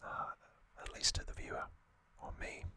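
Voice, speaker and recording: A man whispering a calm trivia recap for an ASMR video close to the microphone, with soft ums and casual asides. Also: normal speed. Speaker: ASMR channel host.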